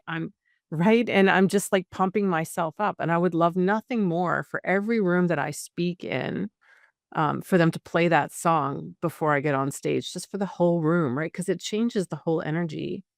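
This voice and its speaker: A woman's voice: low-pitched, fairly even intonation, normal speed, creaky, no room sound, clean studio sound. A middle-aged woman hosting a podcast interview, chatting in a conversational, encouraging way. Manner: conversational, encouraging, with frequent fillers and restarts. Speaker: podcast host. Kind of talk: casual interview chat.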